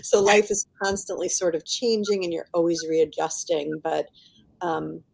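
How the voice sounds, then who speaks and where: A woman's voice: fairly low pitch, huge pitch swings, normal speed, vocal fry, a little mellow, low-quality recording. A middle-aged woman chatting casually as a podcast guest, emphatic and encouraging, often laughing as she talks. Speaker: guest novelist.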